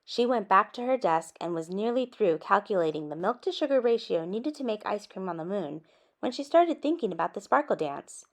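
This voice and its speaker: A woman reading a children's story aloud in an expressive way, with animated asides addressed to young listeners. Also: mid-range voice, brisk. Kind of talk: expressive picture-book read-aloud.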